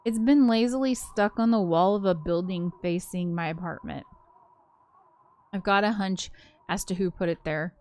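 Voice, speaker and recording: A woman reading a visual novel aloud as a game co-host, expressive in the story and giggly in her casual asides. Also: lowish voice, normal speed. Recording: music playing behind the voice. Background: game soundtrack music.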